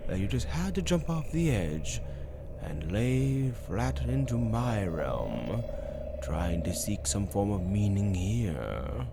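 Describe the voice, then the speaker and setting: A man voicing a smug, teasing, otherworldly demon in a dark fantasy audio drama, with genteel theatrical delivery. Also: fairly low pitch, sing-song, slow, gravelly, heavy background noise, exaggerated voice. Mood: coaxing, silky.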